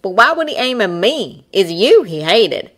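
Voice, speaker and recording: A woman's voice: mid-range voice, sing-song, unhurried, voice pushed up. A woman voicing a plain-spoken, polite but argumentative Texan man, an aeronaut, in a storybook reading. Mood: puzzled, insistent.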